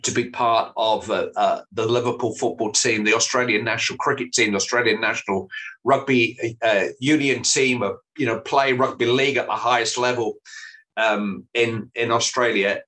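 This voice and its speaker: Middle-aged man from England, football commentator, anecdotal, self-deprecating, medium-pitched voice. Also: fairly even intonation, normal speed, a bit bright. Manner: chatty storyteller, frequent restarts and self-deprecating laughs. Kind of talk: relaxed anecdotal interview talk.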